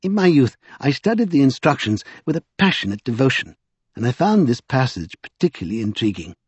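A man's voice: mid-range voice, very animated, brisk, husky, dry recording, pitched above the performer's own voice. A man voicing a bookish, thoughtful magician who lectures precisely in an audiobook. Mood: earnest, pedantic. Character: pedantic, bookish, thoughtful male scholar magician.

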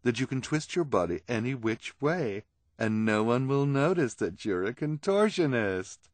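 Audiobook villainess, played by a man: haughty, persuasive schemer, animated pitch, close dry sound. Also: a little slow, no echo, close to an everyday voice. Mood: sly generosity. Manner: persuasive, emphatic, condescending.

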